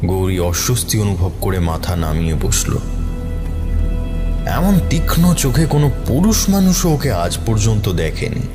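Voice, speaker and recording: A man's voice: fairly low pitch, very animated, moderate pace, music in the background. A man reading a scripted horror story as its narrator.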